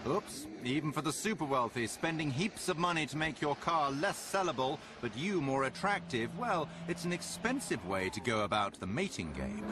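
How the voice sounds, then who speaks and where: A man's voice: medium pitch, background noise. A man narrating a documentary in a wry, tongue-in-cheek scripted voice-over. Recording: voice-over over loud music.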